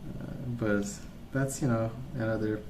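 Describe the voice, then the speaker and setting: A man rambling casually in a soft-spoken ASMR style, hesitant and meandering as he hosts. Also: lowish voice, steady tone, unhurried, roomy sound, background noise. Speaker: ASMR video host.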